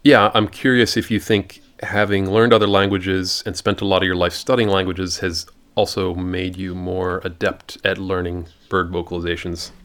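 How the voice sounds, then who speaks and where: Male podcast interviewer, deep voice, casual conversational delivery. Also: little pitch movement, moderate pace, few pauses, a bit bright.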